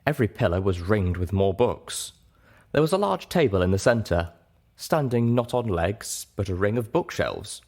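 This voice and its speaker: Low-pitched man narrating a story. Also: sing-song, normal speed, a little mellow. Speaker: audiobook narrator.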